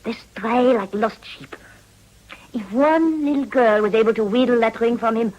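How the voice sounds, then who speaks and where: Husky-voiced worldly madam acted by a woman, cynical, coaxing, theatrical French affectations, period radio drama. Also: fairly high pitch, moderate pace, darkish tone, natural voice, low-quality recording. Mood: cynical, amused. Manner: theatrical, knowing, teasing.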